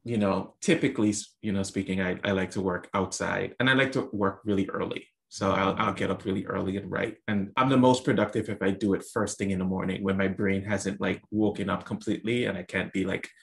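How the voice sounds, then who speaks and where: A man's voice: low voice, fairly even intonation, a little fast, vocal fry, hardly any pauses. A young adult man from the US Virgin Islands, answering interview questions thoughtfully with many fillers and restarts. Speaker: author guest.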